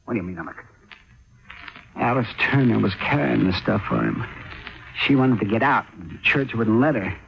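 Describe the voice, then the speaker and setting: A frightened underworld informant pleads in a gravelly, wildly swinging voice, halting and agitated with guilt. It is vintage radio acting on a muffled recording. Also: male voice, fairly low pitch, expressive, slight background noise. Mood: agitated, guilty. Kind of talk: old-time radio drama acting.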